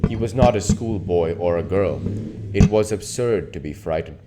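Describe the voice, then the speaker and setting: A man narrating a literary novel as an audiobook reader, with measured, deliberate phrasing. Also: deep voice, expressive. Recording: noticeable background noise.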